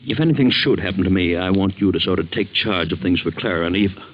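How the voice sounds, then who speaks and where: An actor playing an anxious middle-aged father haunted by doom, halting and earnest, in 1940s radio drama style. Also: deep voice, slightly rough. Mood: haunted, worried. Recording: dull vintage 1940s radio recording.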